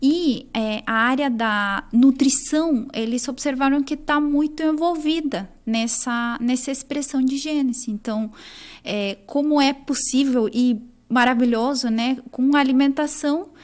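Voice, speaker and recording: A woman's voice: high-pitched, a little slow. A young adult woman from Chile with a Chilean accent, a nutritionist guest explaining her work in an interview, with frequent fillers. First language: Spanish. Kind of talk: interview answers.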